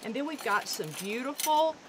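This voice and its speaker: Chatty woman cooking outdoors, higher voice. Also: normal speed. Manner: chatty, instructive, upbeat. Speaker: guest cook. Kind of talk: cooking demonstration. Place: outdoor wooded deck. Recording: outdoor background noise.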